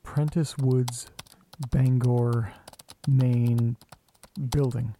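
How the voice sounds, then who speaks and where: An actor playing a restless, sweary man who mutters to himself and his pets in a naturalistic horror audio drama, casual and deadpan. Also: mid-range voice, very slow, slight background noise. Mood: puzzled, muttering.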